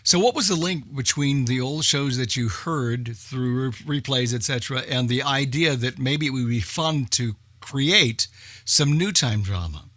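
Man, interviewer, bright tone, medium pitch, casual conversation. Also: a little slow, no echo, clean studio sound. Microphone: video call.

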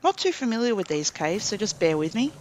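A man's voice: high-pitched, a little fast, slight background noise. A man casually commentating a survival game, thinking aloud as he plays. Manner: relaxed, chatty, thinking aloud while playing. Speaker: gaming commentator. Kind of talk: casual let's play commentary.

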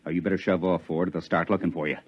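An actor playing a big, brash, folksy Venusian colonist in a 1950s radio drama, with a cocky, plainspoken drawl. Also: male voice, low voice, steady tone, fast, husky. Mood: easygoing. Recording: dull lo-fi 1950s radio recording.

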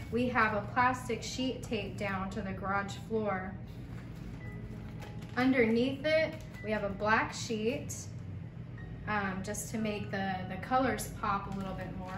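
Casual young woman showing her garage setup. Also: a little high. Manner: chatty and informal, says y'all. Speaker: painting channel host. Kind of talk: casual DIY walkthrough. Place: home garage workshop. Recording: clear background music underneath.

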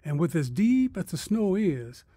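An actor playing a kindly, plainspoken old farmer in a radio drama. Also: male voice, sits high, very animated, measured pace, dark tone, slightly rough, no echo, studio quality, heavily acted. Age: older.

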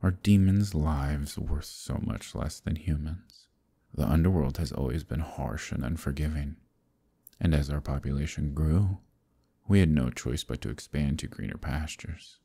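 A man voicing a proud, scheming demon king in an audio roleplay, talking in a slow, silky, menacing way. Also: warm tone, exaggerated voice. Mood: bitter. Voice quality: deep gravelly voice. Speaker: voice actor. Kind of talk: ASMR roleplay.

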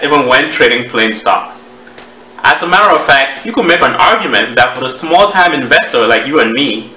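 A man in his thirties with a Cameroonian accent, giving a measured, explanatory presentation to camera as a trading educator. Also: mid-range voice, bright, forceful tone, a little hiss or hum. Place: small home office, indoors. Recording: roomy echo, distant microphone.

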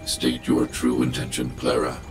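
A towering robot guardian from a video game, speaks in an extremely deep, flat monotone. The delivery is formal, clipped and coldly logical, with music underneath. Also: male voice, normal speed. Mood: cold, calculating. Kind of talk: video game character dialogue.

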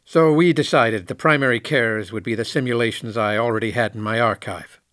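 Man playing reflective station commander, mid-pitched, measured, candid, dry recording, science fiction radio play. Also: fairly bright tone, dry recording, natural voice. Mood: explanatory, reflective. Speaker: actor in an audio drama. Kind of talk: science fiction audio drama acting.

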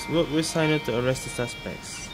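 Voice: mid-range voice, fairly quick. A gaming streamer giving casual commentary, musing aloud as they play. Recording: loud game music behind.

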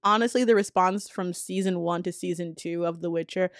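An American woman in her thirties giving casual, opinionated commentary in an informal, slangy way with animated emphasis. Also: lowish voice, fairly quick, no room sound, clean studio sound. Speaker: video essayist and host. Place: small indoor room with poster-covered walls. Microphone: close studio mic on a boom arm.

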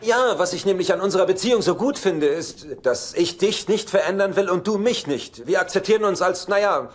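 An actor playing a sardonic, scheming older brother in a sitcom dub, glib and mock-sincere. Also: male voice, sits high, lively intonation, brisk, slight background noise. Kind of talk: German sitcom dub acting.

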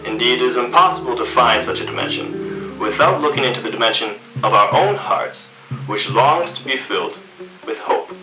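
A man in his twenties or thirties giving slow, dramatic scripted narration like an old TV anthology host, solemn and deliberate with pauses. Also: mid-range voice. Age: 20s or 30s. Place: indoors in a furnished room. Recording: muffled, roomy, distant, music behind.

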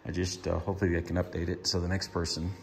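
A man's voice: deep voice, flat delivery, fast. A man giving a casual, explanatory car-build update in an easygoing, matter-of-fact way, speaking from behind a handheld camera. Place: indoor home garage workshop. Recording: reverberant garage, clear background room noise.

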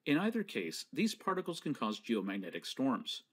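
A man giving a scripted slideshow lecture, explaining each point in a measured, precise way. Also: medium pitch, wildly swooping pitch. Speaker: presenter.

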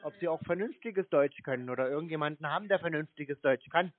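A man answering calls in a terse, deadpan, stonewalling way, with phone-band sound. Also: a little high, fast talker, narrow, tinny audio. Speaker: prank call recipient.

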